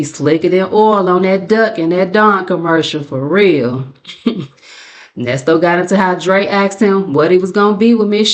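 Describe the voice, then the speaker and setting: An American woman hosting a commentary channel, giving a casual gossip recap in a sassy, mocking, colloquial way. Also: fairly low pitch. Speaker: commentary channel host and narrator.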